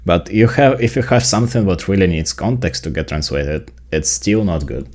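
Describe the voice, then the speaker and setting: An extremely deep-voiced man with a Ukrainian accent, speaking as a native Ukrainian. He walks through software in a casual tutorial style. His delivery is explanatory, leaning on the points that matter. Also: moderate pace. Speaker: tech video creator.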